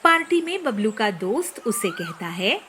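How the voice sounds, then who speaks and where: High-pitched, lively woman storyteller. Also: normal speed, background noise. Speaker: story narrator. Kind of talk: scripted cartoon story narration.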